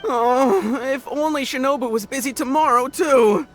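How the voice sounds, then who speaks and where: Nervous, hesitant young gang underling. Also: male voice, extremely high pitch, measured pace, faint music behind. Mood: worried. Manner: hesitant, stammering, anxious. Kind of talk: video game voice acting.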